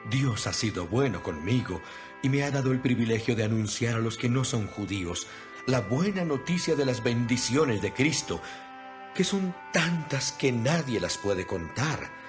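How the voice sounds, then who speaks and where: A man's voice: medium pitch, lightly acted. A man playing an imprisoned apostle in a dramatized scripture reading, speaking solemnly and earnestly with emphasis. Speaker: Bible narrator. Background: music.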